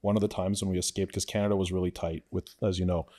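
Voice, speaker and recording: A man's voice: low-pitched, little pitch movement, quick pace, dark tone. A man born in Ukraine, a guest on a podcast, talking bluntly and emphatically in casual chat. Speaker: guest, influencer.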